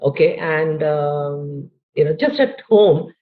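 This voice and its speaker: Low-voiced Indian woman on a tinny line. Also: takes it slowly. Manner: thoughtful, many fillers like "you know". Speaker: podcast guest. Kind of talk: conversational interview.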